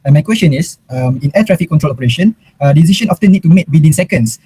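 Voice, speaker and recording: A man's voice: a little high, expressive, racing, mellow, breathy edge, dry recording. A young man with a Malaysian accent asking formal prepared questions as a student interviewer. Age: young adult.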